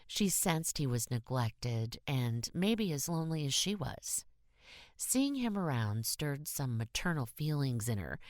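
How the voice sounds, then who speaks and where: A woman narrating a scripted short story as an audiobook, with deliberate phrasing and expressive emphasis. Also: very deep voice, no echo, clean studio sound.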